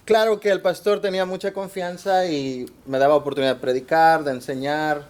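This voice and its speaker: A man with a Mexican accent giving a church workshop talk in short phrases, pausing between them. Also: on the high side, a little mellow. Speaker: workshop speaker.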